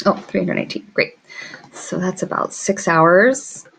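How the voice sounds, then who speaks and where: Female tutorial presenter, business owner type. Lower register, gravelly fry, informal think-aloud delivery. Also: a little slow, a bit bright, a little hiss or hum, poor audio. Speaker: small business owner teaching pricing.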